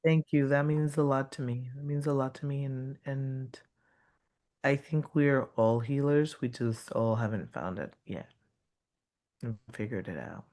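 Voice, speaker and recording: A man reading his poetry in a measured, incantatory way, as a guest on a video call. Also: mid-range voice, darkish tone.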